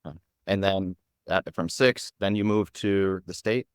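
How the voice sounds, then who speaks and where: A man hosting a podcast who asks his questions in a halting way, often restarting mid-sentence. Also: low voice, smooth, dry recording, clean studio sound. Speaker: podcast host. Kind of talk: conversational interview questions.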